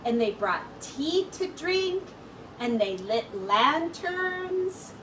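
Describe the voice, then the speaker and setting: A woman teacher reading a storybook aloud to children in an expressive, deliberate way with drawn-out words. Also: high-pitched. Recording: distant mic with clear background noise.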